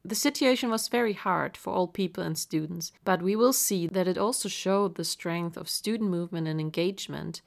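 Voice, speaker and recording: A woman hosting an education podcast, reading a measured, upbeat introduction. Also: on the low side, creaky. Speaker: podcast host. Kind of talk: scripted introduction.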